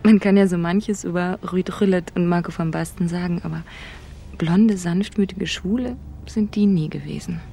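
A woman's voice: fairly low pitch, steady tone, normal speed, gravelly, music in the background. An actress playing a sleuth's wry, sceptical woman companion in a German radio crime drama, with a dry, teasing manner. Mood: wry, curious.

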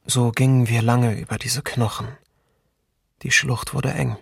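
A sombre memoir narrator speaks very slowly and with restraint. The voice is gravelly and husky, close and dry, as in a documentary radio play. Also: male voice, mid-range voice, steady tone, a bit bright, no echo, exaggerated voice. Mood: sombre, reflective. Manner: slow, measured, restrained. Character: sombre male memoir narrator recalling his childhood at a ravine. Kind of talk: scripted literary reading in a documentary radio play.

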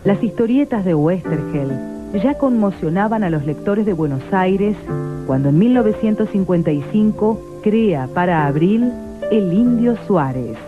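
A woman's voice: mid-range voice, animated, unhurried. A woman reads scripted documentary narration. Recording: thin phone-like sound, loud music behind. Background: music.

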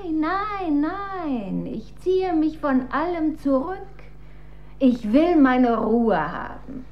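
An actress playing an imperious, manipulative dowager empress in a German radio drama, speaking in a formal, stately and measured manner. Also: female voice, sits high, sing-song, exaggerated voice. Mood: weary, dismissive. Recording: dull recording with faint noise.

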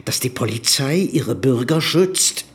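Actor as desperate, indignant man, wildly varying pitch. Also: lively intonation, a little slow, close to an everyday voice. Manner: insistent, proud, protesting. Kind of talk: German radio drama acting.

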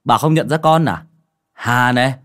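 A man's voice: medium pitch, unhurried, fairly bright tone, natural voice. A man voicing an eager, cheerful young man in an audiobook, exclamatory. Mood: delighted. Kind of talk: audiobook character acting.

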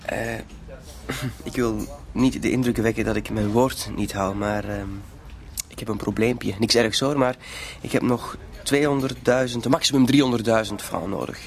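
Radio drama: male actor as mock-courteous blackmailer, gravelly creak, mid pitch, greedy, quietly menacing. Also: fairly even intonation, moderate pace, close to an everyday voice. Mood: smugly courteous. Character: smooth-talking, polite, greedy male blackmailer. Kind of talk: Dutch-language radio drama acting.

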